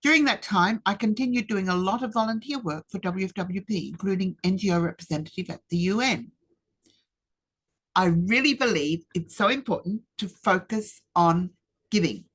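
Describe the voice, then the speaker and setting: A woman, giving a rehearsed webinar presentation in a measured, deliberate way with emphatic pauses. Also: medium pitch, breathy edge. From Australia. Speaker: speaker. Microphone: webinar computer mic.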